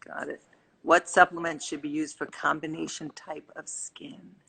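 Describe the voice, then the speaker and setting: Woman host, upbeat, bright and husky, reads fan questions on a live stream. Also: sits low, flat delivery, moderate pace, poor audio. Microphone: phone or laptop on a live video call.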